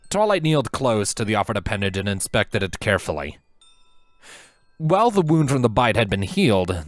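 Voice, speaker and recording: A man's voice: on the low side, no echo. A man reading a scripted story aloud as the narrator. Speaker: fan fiction narrator.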